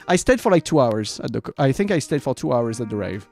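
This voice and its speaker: Animated man chatting on a livestream. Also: medium pitch, a little fast, gravelly, soft background music. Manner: rambling, informal, swears casually; animated, laughs often. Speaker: variety streamer and host. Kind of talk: casual livestream chat to viewers.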